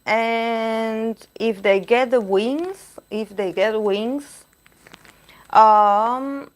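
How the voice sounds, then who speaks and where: Slow-talking hoarse woman reading tarot. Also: a little high, steady tone. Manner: halting, searching for words while reading cards. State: losing her voice. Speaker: tarot reader. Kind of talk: live-stream tarot reading, chatting with viewers.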